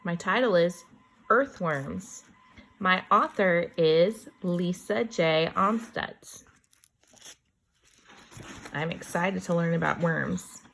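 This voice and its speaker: A woman reads aloud to kindergartners at a very unhurried pace, her voice on the low side with a gravelly creak. She reads each sentence deliberately, then adds chatty, wondering comments as a teacher would. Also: rough recording. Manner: slow deliberate reading, chatty asides with wondering exclamations.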